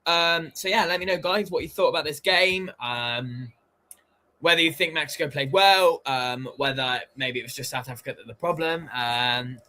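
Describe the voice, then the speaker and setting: A man's voice: on the high side, moderate pace, sharp tone. A man hosting a stream, chatting casually and loosely commentating on a football match, with plenty of fillers. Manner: laid-back, rambling, with many fillers.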